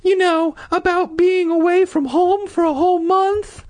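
A man voicing a young girl, the hero's sister, in a shrill, wailing manner for a story reading. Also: steady tone, unhurried, no room sound. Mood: puzzled, nagging. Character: terrified, whiny young girl, the narrator's sister.

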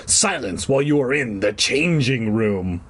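A man voicing a menacing, centuries-old cursed ghost dog with a dry, growling, whispery voice and a slow, commanding manner, as in a storybook. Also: mid-range voice, animated, a bit bright, breathy edge. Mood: ominous, commanding.

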